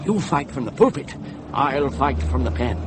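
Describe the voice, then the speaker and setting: An actor playing a fiery, defiant pamphleteer, declaiming with scorn and suspicion in a theatrical drama style. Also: male voice, medium pitch, sing-song, moderate pace, noisy background, natural voice. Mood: fervent, persuasive. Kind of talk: theatrical dramatic monologue and dialogue.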